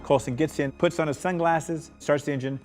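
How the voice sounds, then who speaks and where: A man's voice: a little high, steady tone, a little fast. A man narrating script directions aloud at a table read. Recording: loud music under the voice. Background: music.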